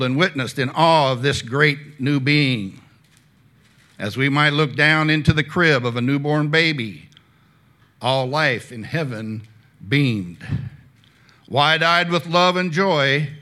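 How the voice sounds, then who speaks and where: Bright-voiced man preaching a sermon. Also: a little high. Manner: asks the audience questions, admits he has a loud voice. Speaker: church teacher. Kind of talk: sermon with dramatic reading. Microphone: handheld microphone.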